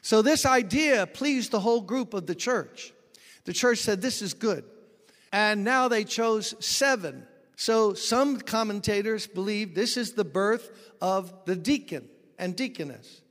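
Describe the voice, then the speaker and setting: A man's voice: sits high, animated, unhurried, fairly bright tone, long reverb tail. A pastor preaching a sermon, explaining scripture and telling stories from his own ministry. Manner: expository preaching with personal stories.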